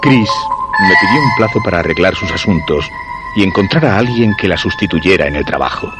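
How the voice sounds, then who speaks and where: A curious, insistent husband in a Spanish radio drama, with a low Castilian voice. He recounts his romance in measured, literary phrases with expressive swings, over music. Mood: measured, reflective. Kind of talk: Spanish radio play narration and dialogue.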